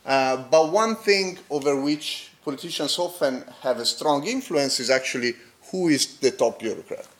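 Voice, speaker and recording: A man with a non-native accent presenting an academic paper at a conference. He speaks haltingly, with fillers and restarts, and checks in with the audience using tags like "okay?". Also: medium pitch, animated. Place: conference hall.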